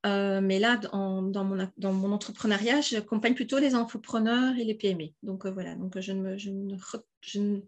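A woman's voice: medium pitch, steady tone, moderate pace, hardly any pauses. A woman from southern Belgium with a Belgian French accent, a podcast guest talking casually, with many fillers and restarts. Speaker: podcast guest, event organizer. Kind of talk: casual interview talk.

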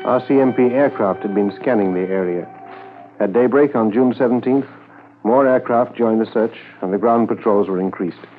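An actor serving as a solemn, measured narrator of a 1950s police radio drama. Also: male voice, on the low side, soft background music, natural voice. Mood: solemn, factual. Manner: formal, measured. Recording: tinny 1950s radio recording.

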